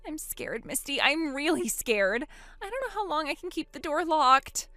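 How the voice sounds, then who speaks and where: A woman's voice: very high-pitched, expressive, a little fast, pushed and bright, no room sound, soft background music, voice pushed up, exaggerated voice. A frightened young woman pleads and panics, as a woman puts her on. Her voice climbs far above the narrator's own and swings widely. The recording is dry and close. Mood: scared.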